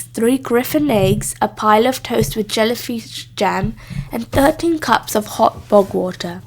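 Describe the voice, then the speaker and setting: A woman with a high voice narrates a children's storybook. She reads from the text in an expressive storytelling style. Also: low-quality recording. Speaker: storybook reader.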